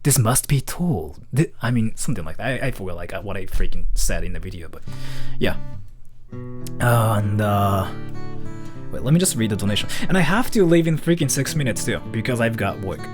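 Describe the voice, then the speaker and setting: Male streamer with very animated, swinging pitch. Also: brisk, over music. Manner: rambling, repetitive, hesitant. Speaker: livestreamer reading donations. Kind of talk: casual livestream chat.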